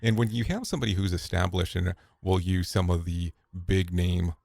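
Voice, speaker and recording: A man speaks as a podcast guest, his voice very low with a gravelly fry. He tells stories in a relaxed way, restarting sentences and dropping quote-unquote asides. The sound is close and dry, with no room around it. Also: moderate pace, dry recording. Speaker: podcast guest, safety broadcaster. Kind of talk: conversational interview answers.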